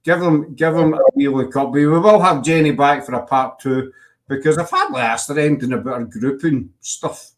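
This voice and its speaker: A man's voice: on the high side, normal speed. A man with a Glaswegian Scottish accent hosting a casual online chat show, teasing and joking with his guest. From Scotland. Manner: jokey, teasing, uses Scots words. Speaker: podcast host.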